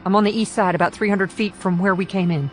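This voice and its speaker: A woman's voice: medium pitch, steady tone, quick pace, soft background music, close to an everyday voice. A woman playing an impatient, uneasy investigator partner in audiobook dialogue. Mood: shaken.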